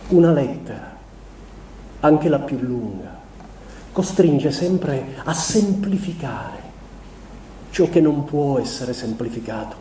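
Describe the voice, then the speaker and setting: Tormented earnest man, mellow, halting theatrical monologue, distant roomy recording. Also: medium pitch, animated. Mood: earnest, pleading. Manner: halting, deliberate, with weighty pauses. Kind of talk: slow theatrical stage monologue. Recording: roomy distant stage recording, faint noise.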